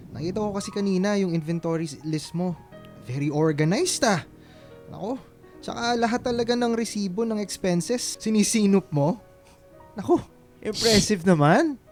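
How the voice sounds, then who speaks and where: A man playing a kind, playful young suitor in a Filipino radio drama, with a coaxing, expressive delivery. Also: fairly high pitch, close to an everyday voice. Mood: admiring. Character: Romel, kind, playful, caring young man courting a volunteer.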